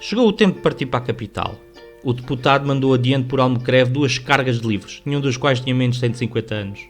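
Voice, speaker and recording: A man's voice: mid-range voice, fast. A man with a European Portuguese accent reading scripted literary narration for a radio drama. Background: soft music.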